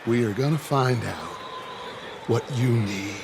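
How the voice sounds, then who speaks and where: An actor playing a commanding middle-aged American outlaw gang leader in a video game, theatrical and oratorical, bent on vengeance. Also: male voice, medium pitch, expressive, slow, background noise. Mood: smug, menacing. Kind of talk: dramatic video game acting.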